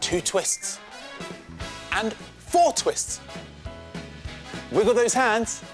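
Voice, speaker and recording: A man's voice: high voice, very animated, drawn-out words, over music, voice pushed up, full character voice. A man voicing a cheerful, encouraging woman dance teacher in a children's storybook, calling out instructions with enthusiasm. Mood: gentle, encouraging.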